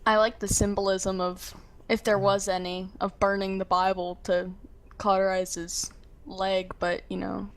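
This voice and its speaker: Female roleplay player, average pitch, casual chatter, faintly noisy voice-chat audio. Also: level tone, unhurried. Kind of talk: casual banter.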